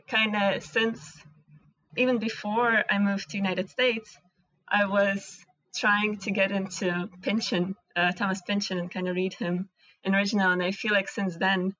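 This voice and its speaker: A woman's voice: medium pitch, fairly bright tone, no room sound, dull audio. Russian-accented woman, thoughtful and dry. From Latvia and Russia. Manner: thoughtful, searching for words. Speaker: interview guest, animation technical director. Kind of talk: casual interview conversation.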